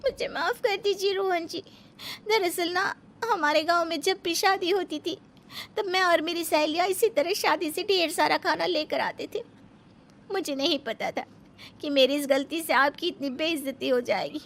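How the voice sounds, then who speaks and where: A woman's voice: extremely high pitch, moderate pace, fairly bright tone, a little hiss or hum, voice pushed up. A woman voicing a plucky young village daughter-in-law in a cartoon story, earnest and rustic in manner. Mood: apologetic, pleading. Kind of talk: Hindi cartoon story acting.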